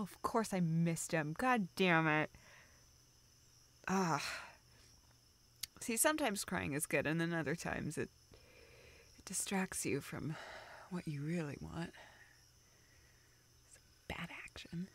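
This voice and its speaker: A woman acting a tearful, self-deprecating young woman in an intimate audio roleplay, halting and rambling as she talks to a close friend. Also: fairly low pitch, slight background noise. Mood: sad, soft. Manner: halting, rambling, soft laughs and whispered asides.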